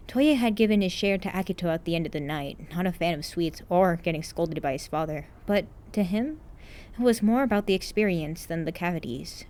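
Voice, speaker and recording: A woman's voice: on the low side, warm tone. A woman reading a story aloud as scripted narration, like an amateur audiobook. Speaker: narrator of a fan fiction podfic.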